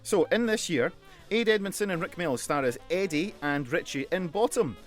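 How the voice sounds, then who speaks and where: Higher-pitched man chatting on radio. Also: animated, moderate pace, faint music behind. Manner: jokey, chatty. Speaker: radio show co-host. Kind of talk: casual radio chat with read-out trivia.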